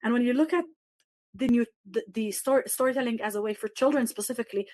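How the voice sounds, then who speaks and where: A woman's voice: fairly high pitch, fast talker, darkish tone, dry recording. Earnest woman panelist, Arabic native. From Jerusalem. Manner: earnest, reflective, with many fillers and restarts. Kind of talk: panel talk.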